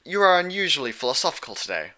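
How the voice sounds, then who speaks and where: A man voicing a proud, sharp-tongued younger-sister pony princess of the moon, speaking in formal, old-fashioned phrases for a storybook reading. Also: on the high side, big pitch swings, racing, voice pushed up. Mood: dry. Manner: formal, archaic, challenging phrasing.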